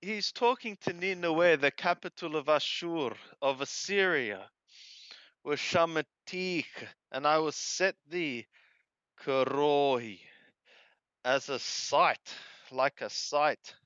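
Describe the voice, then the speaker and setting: Very slow-reading man, clear smooth voice. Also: fairly high pitch, a bit bright, dry recording. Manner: halting, deliberate, repeats and corrects wordings. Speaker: amateur Bible reader and translator. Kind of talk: reading Hebrew scripture aloud with phrase-by-phrase translation. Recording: dull lo-fi close recording.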